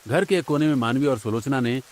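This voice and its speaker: A man's voice: medium pitch, quick pace, slight background noise. A man narrating a scripted domestic story for a cartoon.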